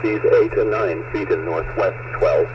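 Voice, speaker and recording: Distant shortwave radio, male forecast announcer, lowish voice, mechanical clipped delivery, scripted bulletin. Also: moderate pace. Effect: shortwave radio transmission. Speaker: marine weather forecast announcer. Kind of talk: formulaic scripted weather bulletin readout. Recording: muffled, noisy shortwave radio broadcast, distant.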